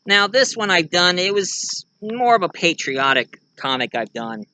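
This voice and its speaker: A man talking casually and ramblingly about stories he wrote, halting and repeating phrases as he goes, with an animated storyteller's way and the odd "eh" tagged on. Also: sits high, clear, resonant tone, poor audio. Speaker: video creator and amateur writer.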